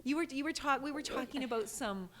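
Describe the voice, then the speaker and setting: A woman hosts an interview podcast in a slightly higher voice. She sounds enthusiastic and engaged, and she laughs easily. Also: quick pace, a little hiss or hum. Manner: enthusiastic, warm-toned interviewer with bursts of laughter. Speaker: podcast host.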